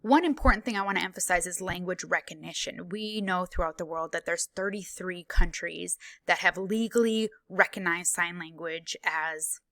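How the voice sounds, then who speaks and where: A woman voicing a signed conference presentation into speech, in a measured, formal way, phrase by phrase with small pauses and fillers. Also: on the low side, sharp tone, no echo. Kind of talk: live spoken interpretation of a presentation.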